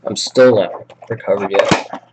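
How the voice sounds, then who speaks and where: Hoarse man rattling off team picks. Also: lowish voice, steady tone, heavy background noise. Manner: rapid listing of names and teams; casual, chatty. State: sick, raspy voice. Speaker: card break host. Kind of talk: live card break commentary.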